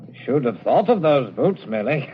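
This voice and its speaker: Sneering young son, dull old radio. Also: male voice, medium pitch, sing-song, moderate pace, slightly rough. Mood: mocking. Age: young adult. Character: sneering, flippant, callous young man of the household. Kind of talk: 1940s radio drama acting.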